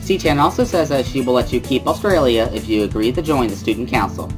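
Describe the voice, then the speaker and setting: A woman playing a bubbly, excitable teenage schoolgirl in a dramatic reading of a visual novel, with a singsong, giggly manner. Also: rumbling low, a little fast, over music, low-quality recording. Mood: playfully teasing. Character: bubbly teenage schoolgirl relaying a friend's signed words.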